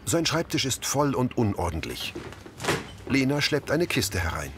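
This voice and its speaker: A man's voice: medium pitch, sing-song, unhurried, noisy background. A man reading scripted audio description narration in the present tense.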